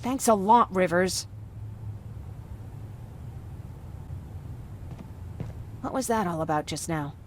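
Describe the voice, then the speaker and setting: A woman's voice: on the low side, expressive, moderate pace, a little mellow, a little hiss or hum, natural voice. A woman playing a fiery, defiant civilian scavenger in a video game, blunt and emotional. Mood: wry, determined. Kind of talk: video game dramatic acting.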